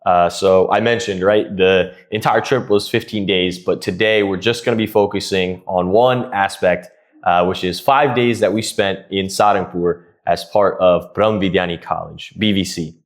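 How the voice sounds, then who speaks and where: A man's voice: sits low, moderate pace. A man in his twenties hosting a podcast in a casual, friendly way, with many "uh" fillers. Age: 20s. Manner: relaxed, frequent "uh" fillers, friendly. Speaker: podcast host.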